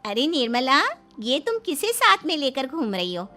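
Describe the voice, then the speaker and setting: A woman plays a middle-aged cartoon auntie. She is nosy and teasing, mildly disapproving, in a high, animated voice with light music behind. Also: normal speed, heavily acted. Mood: surprised, teasing. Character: nosy, teasing middle-aged female friend of mother-in-law. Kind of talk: animated moral story acting.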